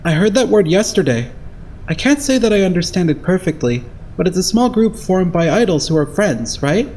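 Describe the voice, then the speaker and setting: A man's voice: high voice, big pitch swings, normal speed, a little mellow, slight background noise. A man voicing an earnest, naive young idol in an anime fandub, speaking in a straightforward way. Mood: thoughtful. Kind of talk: anime game fandub acting.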